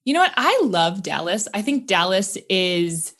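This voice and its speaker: A woman's voice: medium pitch, big pitch swings, a bit bright. Upbeat woman podcast host. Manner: upbeat, enthusiastic, fast bursts. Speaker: podcast host and career coach. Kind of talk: podcast coaching call.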